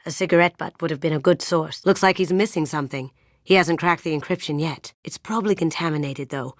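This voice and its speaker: An actress playing a sly, confident young female master thief in a video game, with playful, hushed, coolly self-assured delivery. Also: deep voice, a little fast, no echo, clean studio sound, natural voice. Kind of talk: game character voice lines.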